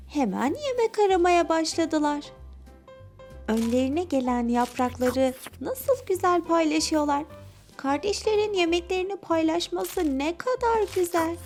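A woman's voice: very high-pitched, a little mellow. A woman narrating a scripted children's story expressively, speaking directly to young listeners.

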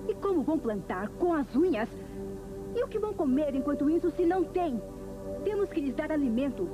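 High-voiced, stubborn Brazilian heroine. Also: female voice, sing-song, brisk, music in the background. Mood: determined. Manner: firm, stubborn. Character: determined, idealistic young woman landowner, protective of the poor. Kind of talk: dubbed 1970s telenovela acting.

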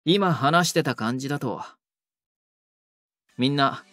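A man playing an earnest, quietly lovestruck young delinquent in a romantic audio drama. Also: medium pitch, animated, slow pace, dry recording, clean studio sound. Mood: earnest, tender.

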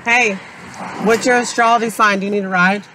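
A man's voice: high-pitched, steady tone, fairly quick. A young man filming a street vlog from a handheld camera, outdoors and in a car, upbeat and repeating a stock thank-you phrase. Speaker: vlogger asking strangers their sign. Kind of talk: street vlog, asking passersby. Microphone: handheld camera at arm's length. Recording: noisy outdoor phone video.

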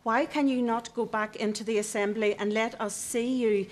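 A woman's voice: on the high side, fairly even intonation, normal speed, very noisy. Older woman questioning from the audience. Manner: pointed, demanding. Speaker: audience member. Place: television studio audience.

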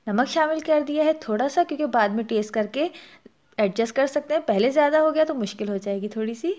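A woman's voice: high voice, fast talker, darkish tone. A woman with a Pakistani Urdu accent hosting a cooking channel, narrating a recipe step by step and adding practical tips. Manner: explanatory, instructive, gives practical tips.

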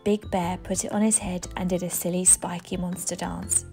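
A woman storyteller reading a children's bedtime story aloud, expressive, with dramatic emphasis. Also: fairly low pitch, normal speed, faint music behind. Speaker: storyteller, channel host.

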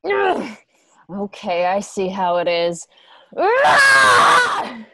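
A woman's voice: medium pitch, wildly swooping pitch, very slow, bright, forceful tone, no echo, shouting, full character voice, rough recording. A woman playing a fierce, bitter young fighter in a radio drama, with a drawl and a defiant, menacing manner. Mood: furious, taunting. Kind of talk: radio drama acting over video call.

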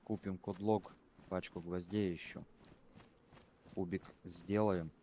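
Very low-voiced man, casual gamer chat, crude and rambling, light game noise. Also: level tone, slow, warm tone. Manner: blunt, swears freely, rambling.

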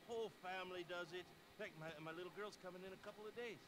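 A man's voice: sits high, fast, noisy background, close to an everyday voice. A man voicing a friendly middle-aged retired small-town cop in a game, chatty and rambling, with an American accent. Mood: earnest, proud. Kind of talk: video game cutscene dialogue.

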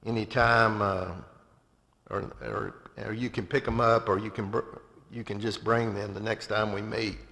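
Older man with a gravelly voice in an echoing church. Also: lowish voice, pushed and bright. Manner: halting, with many restarts and fillers. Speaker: church member leading announcements and prayer. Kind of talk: church announcements and spoken prayer. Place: empty church sanctuary with pews. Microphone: far camera from above, speaking at a lectern. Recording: long hall reverb from a large sanctuary.

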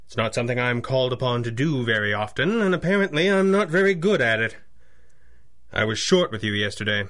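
A man playing a wealthy, composed young gentleman in a 1930s-style radio drama, speaking in a dry, formal, polite way. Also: mid-range voice. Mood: awkward, courteous.

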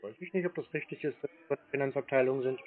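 A man in a phone conversation, answering in a terse, stubborn, deadpan way. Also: medium pitch, fast. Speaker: scam-baiting call recipient. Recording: narrow-band phone-like sound.

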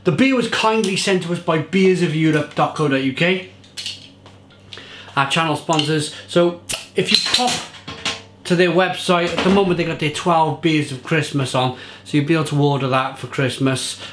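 A man's voice: on the high side, moderate pace, a bit bright, reverberant, a little hiss or hum. A man in his thirties chatting to camera at home in his kitchen, reviewing a beer with enthusiasm. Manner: chatty, enthusiastic, restarts and fillers. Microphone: fixed camera at table.

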